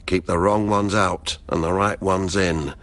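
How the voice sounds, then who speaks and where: An actor playing a proud, broke gambler and former security man in a verse radio drama, speaking with measured, boastful defiance. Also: low voice, steady tone, fairly bright tone, no echo. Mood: proud, insistent.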